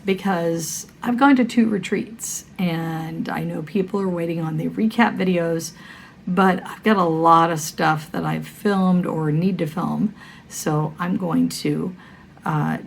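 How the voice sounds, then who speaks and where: A woman's voice: fairly low pitch, takes it slowly. Scratchy-voiced woman chatting casually. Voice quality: scratchy, gravelly voice. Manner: rambling, many fillers and self-corrections. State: hoarse from a cold. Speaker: cross-stitch vlogger. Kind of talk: casual chat to camera.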